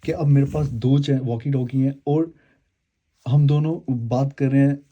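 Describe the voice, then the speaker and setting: A man's voice: mid-range voice, fairly even intonation, unhurried, mellow, muffled recording. A man hosting a casual unboxing and review video, explaining to viewers in a rambling way and often repeating and correcting himself. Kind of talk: casual explanatory product demo, speaking to viewers.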